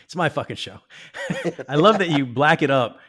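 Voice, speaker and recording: A man's voice: on the high side, lively intonation, normal speed, fairly bright tone. A man co-hosting a casual podcast, swearing freely, laughing often and turning earnest when talking about friendship and trust. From Mexican-American. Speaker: podcast co-host. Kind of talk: casual chat.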